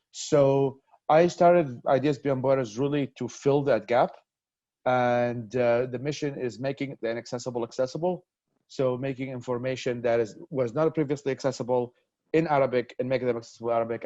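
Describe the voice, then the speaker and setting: A man's voice: mid-range voice, a little mellow, no room sound. A man from Iraq speaks with earnest focus in an ordinary mid-range voice, circling back and repeating words as he builds each point. He sits close to his mic with no room sound. The video call audio is dull and lo-fi. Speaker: interview guest, activist. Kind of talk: conversational interview answers.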